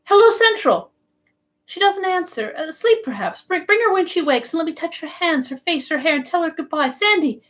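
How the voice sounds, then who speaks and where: A dying man in delirium, acted by a woman audiobook reader, lifted well up in pitch. He is tender and yearning, pleading fervently in broken little bursts. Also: expressive, normal speed, fairly bright tone. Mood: delirious, tender.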